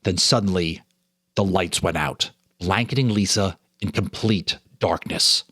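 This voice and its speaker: A man giving a dramatic reading of a ghost story, with suspenseful, deliberate phrasing and dramatic pauses. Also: deep voice, a bit bright, slightly rough, dry recording, clean studio sound. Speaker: storyteller, narrator and host.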